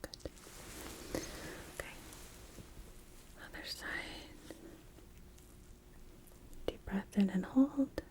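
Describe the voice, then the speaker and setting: A woman whispering softly and breathily in an ASMR medical exam roleplay, playing a gentle, unhurried, reassuring nurse. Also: medium pitch, rough recording. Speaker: ASMR performer role-playing a night nurse.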